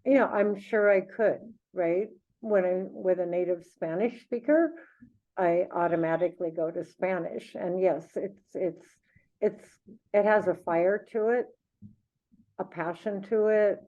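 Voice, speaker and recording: A woman's voice: lowish voice, a little slow. A woman chatting casually as a podcast co-host, musing in a reflective way. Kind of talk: casual unscripted conversation. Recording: thin phone-like audio.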